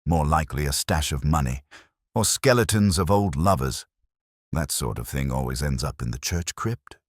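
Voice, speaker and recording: A man's voice: rumbling low, lively intonation, moderate pace, dry recording, studio quality. A man voicing gossipy, suspicious elderly villagers who speculate together.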